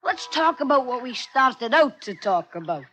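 An actor playing a scheming young sailor in a 1950s radio drama, talking in a folksy, wheedling way with rough grammar. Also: male voice, very high voice, very animated, normal speed, sharp tone, full character voice.

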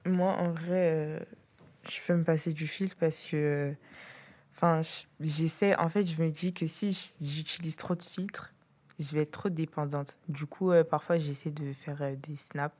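A teenage girl, a student, speaking casually and haltingly in a school podcast. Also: low voice, steady tone, darkish tone. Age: teens.

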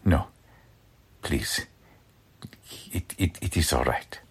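A man playing a humble, kindly immigrant baker in a radio drama, with a halting, gentle way of repeating himself. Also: very deep voice, husky, heavily acted. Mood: sad, resigned. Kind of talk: old-time radio drama acting.

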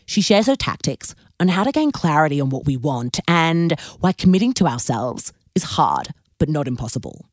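A woman's voice: deep voice, very animated, normal speed, hardly any pauses, dry recording, studio quality. A woman hosting a podcast, reading an upbeat intro and then chatting enthusiastically with her guest. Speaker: podcast host. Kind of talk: scripted intro then interview chat.

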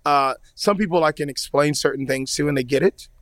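A man's voice: fairly high pitch, fairly quick, dry recording. A man, an opera tenor, chatting casually in an interview, rambling with many fillers. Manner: relaxed, rambling, many "you know" fillers.

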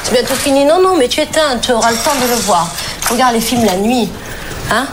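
A woman's voice: on the high side, a little fast, natural voice. Woman playing a nagging scolding relative. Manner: scolding, insistent. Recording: film clip with clattering household noise.

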